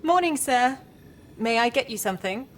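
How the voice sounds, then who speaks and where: Woman acting a high-voiced, polite AI assistant. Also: lively intonation, normal speed, slight background noise, lightly acted. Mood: courteous. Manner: courteous, dryly teasing. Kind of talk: video game cutscene acting.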